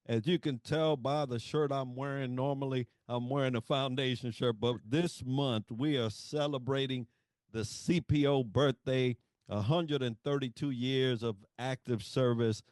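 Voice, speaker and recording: Host: middle-aged man, mid-pitched voice, dry close recording, formal and welcoming delivery. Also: no echo. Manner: warm formal introductions, reads prepared questions. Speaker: podcast host. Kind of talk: podcast interview hosting.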